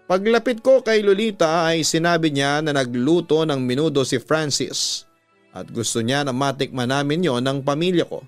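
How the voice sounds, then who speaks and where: A man with a Filipino accent narrating a scripted story as a radio host, measured and deliberate, drawing out the ends of phrases. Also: fairly high pitch, dry recording. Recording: background music under a dry studio voice. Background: music.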